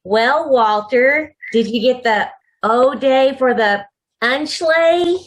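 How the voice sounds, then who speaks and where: An actress plays an earnest, perky schoolgirl with a very high voice. She speaks slowly in the manner of vintage radio comedy, over a video call. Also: animated. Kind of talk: old-time radio comedy acting.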